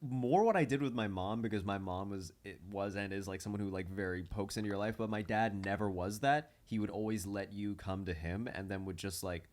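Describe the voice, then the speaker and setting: A man chatting casually on a comedy podcast, telling personal stories in an animated, halting way and repeating words. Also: fairly low pitch.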